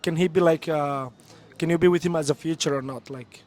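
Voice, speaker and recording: A young man from Somalia with a Somali accent, hosting a casual street interview vlog outdoors in a city centre, chatty and quick, switching between two languages. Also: fairly high pitch, fairly even intonation, darkish tone.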